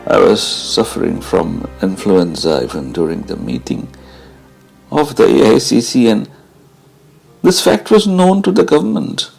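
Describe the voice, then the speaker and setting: A man giving a measured, deliberate dramatised reading of a first-person memoir, as narrator. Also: lowish voice, expressive, creaky, a little mellow, breathy edge, faint music behind.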